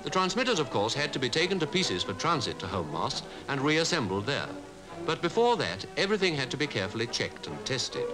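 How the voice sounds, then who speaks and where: Animated 1950s British newsreel narrator, man. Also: mid-range voice, over music. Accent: British Received Pronunciation. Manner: formal, measured delivery. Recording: 1950s newsreel archive soundtrack.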